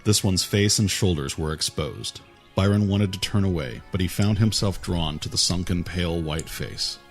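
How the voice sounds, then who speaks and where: A man reading a fantasy story aloud in a calm, even way, with a husky voice. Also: deep voice, moderate pace, soft background music. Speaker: narrator. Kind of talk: storybook narration.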